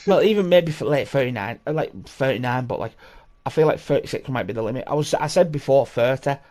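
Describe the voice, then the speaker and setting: A man in his thirties hosts a laid-back podcast chat. He swears freely and rambles, often restarting his sentences. His voice sits at an ordinary middle pitch. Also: animated, fast, darkish tone. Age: 30s. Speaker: podcast host. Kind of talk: casual chat.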